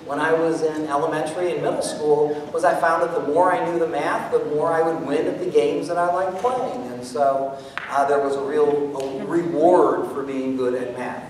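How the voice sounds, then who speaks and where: A middle-aged American man giving a live talk on stage and taking audience questions, animated and jokey as he thinks aloud. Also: fairly high pitch, moderate pace. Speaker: guest speaker, mathematician performer. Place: theatre stage, indoors. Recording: echoey hall from far off, noisy.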